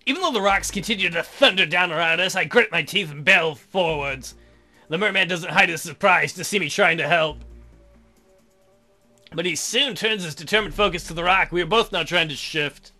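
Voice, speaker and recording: High-pitched, bright-voiced man joking while reading. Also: moderate pace, soft background music. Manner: irreverent, crude joking asides, theatrical outbursts. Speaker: let's play host. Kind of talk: reading a visual novel aloud with joking commentary.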